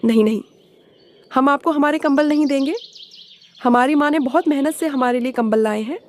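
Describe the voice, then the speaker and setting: A woman's voice: sits high, moderate pace, natural voice. A woman voicing a small, innocent but defiant child sparrow in a cartoon, with a childlike manner. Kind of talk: Hindi moral cartoon voice acting.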